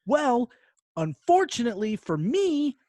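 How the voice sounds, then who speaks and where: A man co-hosting a podcast, telling gaming stories in an animated, casual, sweary way. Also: extremely high pitch, unhurried, no room sound. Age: adult, parent of a young child. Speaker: podcast co-host. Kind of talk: casual chat, long gaming anecdote.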